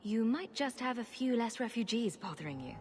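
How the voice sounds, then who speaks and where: A woman's voice: fairly high pitch, lively intonation, brisk, a little mellow, a little hiss or hum, natural voice. An actress playing a determined, questioning refugee woman of good family in a video game, assertive and composed. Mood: insistent.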